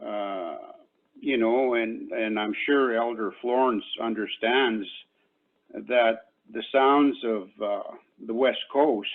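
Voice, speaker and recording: An older man whose first language is Cree speaks very slowly and deliberately, stopping often between thoughts. His voice sits at an everyday middle pitch. It comes through a thin, phone-like video call line. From Canada. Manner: slow and measured, with many pauses and fillers. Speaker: Elder and invited speaker. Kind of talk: reflective talk at an online panel. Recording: tinny phone-like call audio.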